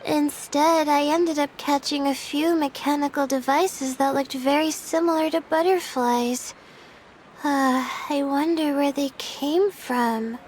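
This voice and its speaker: Timid teenage girl, high, slow, meek, weary, hesitant, anime game. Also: steady tone, a little mellow, a little hiss or hum. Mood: hesitant, earnest.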